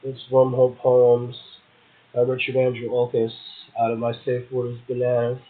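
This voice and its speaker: A man's voice: medium pitch, completely flat delivery, normal speed. A man, a guest poet, reading verse and chatting casually. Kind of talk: poetry reading and casual chat. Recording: tinny phone line.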